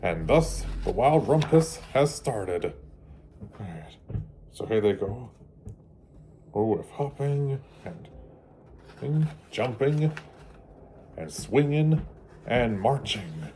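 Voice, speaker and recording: A man reads a picture book aloud for children at a very slow pace. His intonation is lively and varied, and his delivery is deliberate and halting, with dramatic pauses. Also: medium pitch, a little hiss or hum. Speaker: read-aloud storyteller for children. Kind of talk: storybook narration for kids.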